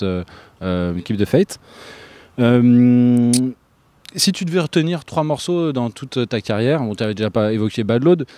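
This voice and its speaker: A man's voice: fairly low pitch, darkish tone, no room sound. A man interviewing a musician, asking long, hesitant questions full of fillers.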